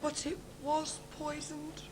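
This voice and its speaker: A woman's voice: high-pitched, monotone, slow pace. A woman playing a plucky, melodramatic fairy sidekick in a live pantomime, earnest and urgent in her stage delivery. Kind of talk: live comic pantomime acting. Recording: very noisy amateur stage recording.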